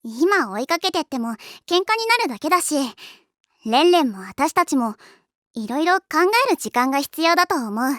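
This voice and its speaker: A friendly, easygoing schoolgirl in an anime. The voice is high and bright with lively movement in pitch. She sounds level-headed and frank. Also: normal speed, dry recording, studio quality. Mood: calm, serious. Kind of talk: anime voice acting.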